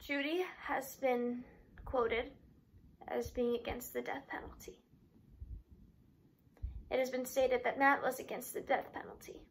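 A woman performing a dramatic monologue as a grieving father addressing his son's killer, halting and emotional, with repeated words and long pauses. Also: high-pitched, little pitch movement, vocal fry, low-quality recording. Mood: solemn, subdued.

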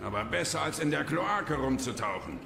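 An actor playing a gruff, grumbling, distrustful male dwarf warrior in a fantasy radio play. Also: fairly high pitch, big pitch swings, normal speed, background noise. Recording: echoey hall with music behind.

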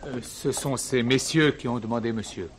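An actor playing a fussy, apologetic restaurant waiter in a detective radio play, speaking formally. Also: male voice, medium pitch, normal speed, a little hiss or hum, close to an everyday voice. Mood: apologetic, reluctant.

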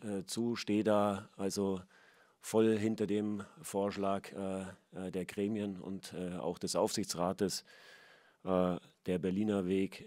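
A middle-aged man giving a halting statement as club managing director at a press conference, on a table mic. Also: low voice, mellow, no echo, clean studio sound. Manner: halting, many filler sounds. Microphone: table microphone.

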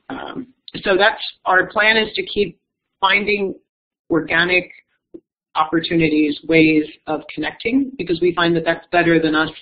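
A woman leading a foundation, explaining its programs in a measured panel talk with some self-corrections. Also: low-pitched. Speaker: executive director of an education foundation. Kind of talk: panel talk answering audience questions. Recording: tinny phone-like line.

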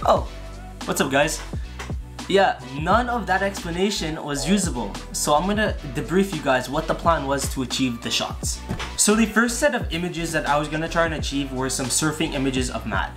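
Male vlog host, twenties, casual delivery, slightly high voice over clear background music. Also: animated, moderate pace. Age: 20s. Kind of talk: casual vlog talk to camera. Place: car interior, a room, outdoors by a river at different times. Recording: music behind the voice.

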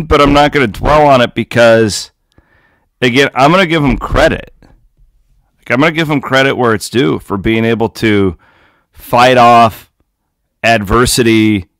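Host, male, 30s; lowish, smooth voice, dry close mic, animated postgame talk. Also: no echo. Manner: animated, talks straight to fans with folksy asides. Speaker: sports show host. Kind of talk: postgame sports analysis. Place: home office, indoors. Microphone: studio condenser mic on desk, webcam.

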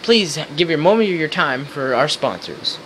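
An earnest old-time radio interview host played by a young man. His voice is fairly high, reading scripted questions in an amateur skit with faint noise behind. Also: sing-song, moderate pace, fairly bright tone. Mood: questioning. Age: young adult.

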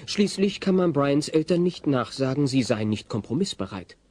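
A man playing the wry, ironic narrator of a family comedy audio play, reading scripted narration in a husky voice. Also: mid-range voice, lively intonation, normal speed, darkish tone, natural voice.

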